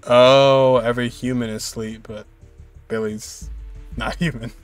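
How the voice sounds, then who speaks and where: A man's voice: medium pitch, level tone, measured pace, no echo. Young male game streamer, casual live commentary, mid-range voice over faint music. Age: young adult.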